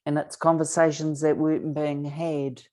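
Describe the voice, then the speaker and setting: A woman with a New Zealand accent hosting a gentle interview over a video call, soft and supportive with hesitant restarts. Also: deep voice, level tone, normal speed. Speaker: podcast host.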